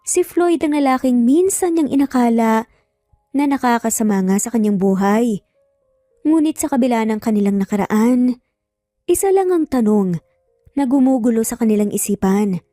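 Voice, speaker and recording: Female narrator for dramatic fiction: soft mellow tone, measured and expressive, very dry recording. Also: fairly high pitch, no room sound, faint music behind. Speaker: storyteller.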